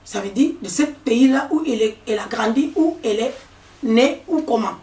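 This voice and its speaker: A woman's voice: on the high side, lively intonation, normal speed, mellow, recorded from a distance. A woman in her forties from Congo, raised in Angola, who tells her story with emphasis and repeats her points. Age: 40s. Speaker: guest. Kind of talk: personal testimony in a discussion. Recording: echoey room, speaker off mic.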